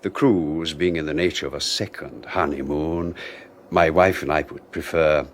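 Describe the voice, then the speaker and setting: An irritable, formal young husband. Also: male voice, extremely low pitch, fairly even intonation, moderate pace, slight background noise. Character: young husband, resentful and clipped. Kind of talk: 1980s radio drama acting.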